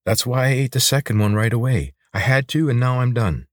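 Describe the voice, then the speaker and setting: A man's voice: mid-range voice, a little fast, no room sound, studio quality, voice pushed up, close to an everyday voice. A man playing a young, impatient adventure hero in an audiobook, blunt and demanding. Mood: defensive. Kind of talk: audiobook character voice.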